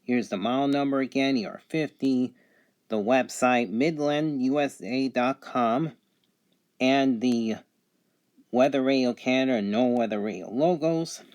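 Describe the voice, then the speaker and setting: A man's voice: mid-range voice, measured pace, a little mellow, smooth. Matter-of-fact man reviewing a product. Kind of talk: unscripted product review demonstration.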